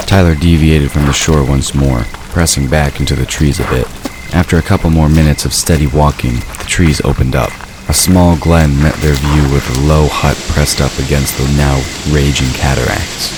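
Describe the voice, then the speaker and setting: A man's voice: rumbling low, fairly even intonation, moderate pace. A man narrating a scripted story as the narrator of an audio drama. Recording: clear background ambient noise. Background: ambient sound effects.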